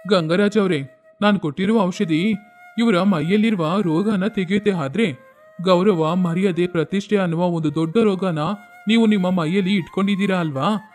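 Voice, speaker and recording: High-pitched wise village healer voiced by a man. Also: normal speed, darkish tone, soft background music, lightly acted. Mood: firm.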